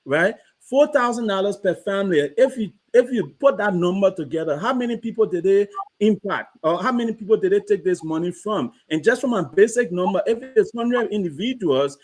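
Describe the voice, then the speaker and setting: A man on a discussion panel, explaining step by step and checking in often with his listeners. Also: high-pitched. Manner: explanatory, checks in with "right?" and "you understand?".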